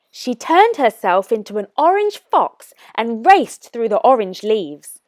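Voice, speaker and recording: A woman narrates a picture book for young children in a fairly high voice. Her phrasing is slow, deliberate and expressive, and the sound is close and dry. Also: dry recording. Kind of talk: scripted storybook read-aloud for young children.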